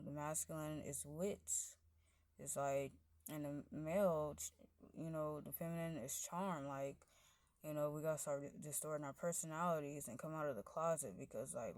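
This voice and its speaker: Gravelly deep-voiced woman rambling. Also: no echo. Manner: rambling, fills every phrase with "like" and "you know"; pitch and loudness wander up and down. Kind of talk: casual spoken monologue.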